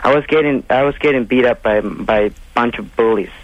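An eighteen-year-old man from Mexico calls in, halting and unsure, with lots of fillers as he searches for words. His voice is mid-pitched and dry. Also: no echo, muffled recording. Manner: halting, hesitant, many fillers. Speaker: caller.

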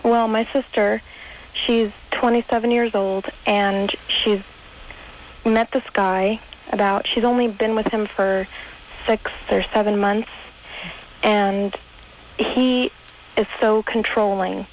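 A woman with a mid-pitched voice talks casually as a caller on a radio show. There is faint noise on the audio. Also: steady tone, takes it slowly, long pauses, rough recording. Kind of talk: casual phone-in chat.

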